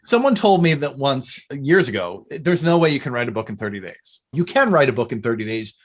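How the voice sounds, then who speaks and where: A middle-aged man chatting casually as a podcast guest, telling rambling, animated stories. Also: mid-range voice, brisk, slightly rough. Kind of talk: casual interview chat.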